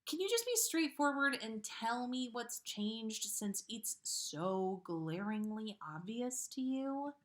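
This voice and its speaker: A woman's voice: fairly high pitch, sing-song, slow pace, hardly any pauses. A woman voicing an exasperated, sceptical teenage girl while reading a story aloud. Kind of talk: character dialogue in a story reading.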